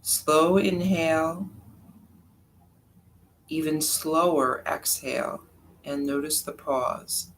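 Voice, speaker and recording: A yoga nidra teacher leading a guided meditation in a calm, unhurried, soft-spoken way with long pauses. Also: mid-range voice, a little hiss or hum, rough recording.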